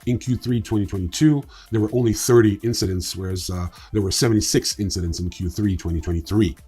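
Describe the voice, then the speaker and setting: A man's voice: on the low side, quick pace, mellow, husky. A man hosting a news show, reading scripted reports in an informal way with casual asides. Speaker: host of a crypto news show.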